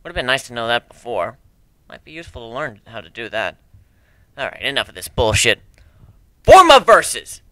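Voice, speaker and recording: Bright-voiced man reading a story dramatically. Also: medium pitch, animated, fairly quick, dry recording, poor audio. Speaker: amateur story narrator.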